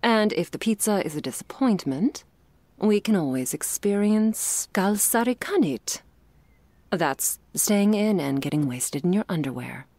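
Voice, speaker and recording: A woman playing an affectionate, wry girlfriend in an intimate ASMR roleplay, talking softly and teasingly to her sleepy partner. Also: mid-range voice, lively intonation, unhurried, no room sound. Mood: playful, animated. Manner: soft, teasing, drifting between whispers and playful chatter.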